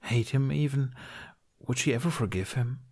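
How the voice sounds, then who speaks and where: A man's voice: medium pitch, moderate pace, darkish tone, breathy edge. A man reading a fantasy story aloud as a scripted audiobook-style narration. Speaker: podfic narrator reading fan fiction.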